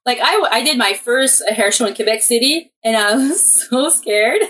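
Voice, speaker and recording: A woman's voice: fairly high pitch, expressive, moderate pace, fairly bright tone. Casual French Canadian woman. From New Brunswick, Canada. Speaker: interview guest, hairstylist. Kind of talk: casual, anecdotal interview chat.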